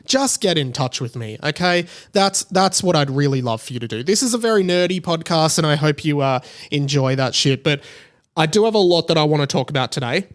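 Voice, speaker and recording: Casual Australian guy with a higher-pitched voice. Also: expressive, a little fast. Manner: self-deprecating, rambling, informal with swearing. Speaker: podcast host. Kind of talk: casual solo podcast chat.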